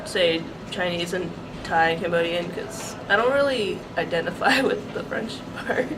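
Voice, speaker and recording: Interviewee, easygoing, unhurried, distant noisy recording. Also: mid-range voice, expressive. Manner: slow, laughing, easygoing. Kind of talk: casual interview answers. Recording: distant mic with loud background noise.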